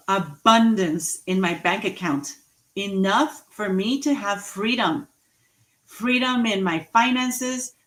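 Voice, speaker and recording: A woman in her forties giving an inspirational talk, slow and emphatic, repeating key phrases and laughing at her own jokes. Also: a little high, a bit bright. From Mexican heritage, raised in the US. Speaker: motivational speaker. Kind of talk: inspirational speech.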